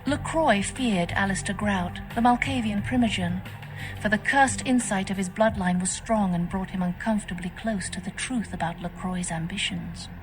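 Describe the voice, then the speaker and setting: A woman's voice: mid-range voice, natural voice, rough recording. An actress playing a composed, scheming female vampire faction leader in a video game, speaking formally. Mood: composed, confiding. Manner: formal, measured, stately. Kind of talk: video game dialogue acting. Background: music.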